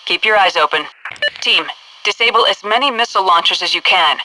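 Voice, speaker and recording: An actress playing a composed, commanding headquarters officer who gives crisp, businesslike mission orders over military radio in a video game. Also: female voice, mid-range voice, brisk, pushed and bright, dry recording, poor audio.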